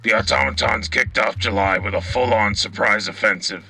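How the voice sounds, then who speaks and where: An actor as a gruff male soldier reporting in a radio war drama. The voice is low and raspy, full of gravel, with a hard, very sharp edge. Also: normal speed, soft background music, heavily acted. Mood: grim, determined. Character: gruff battle-hardened soldier reporting from the front line. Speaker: co-host, field reporter.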